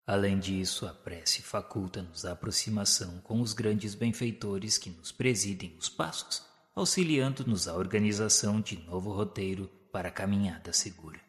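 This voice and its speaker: Solemn low-voiced male narrator. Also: normal speed, few pauses, long reverb tail. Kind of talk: scripted narration of a spiritist radio drama.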